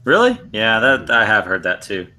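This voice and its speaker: Bright-toned low American man. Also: huge pitch swings, normal speed. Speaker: podcast co-host. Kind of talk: casual chat.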